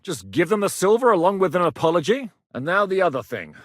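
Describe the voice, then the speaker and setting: An actor voicing a seasoned, commanding male war captain in a video game, blunt and quick to bark orders. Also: high voice, very animated, a bit bright, no echo, studio quality, exaggerated voice. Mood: calm. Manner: barks battle orders, brash and blunt. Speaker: military commander.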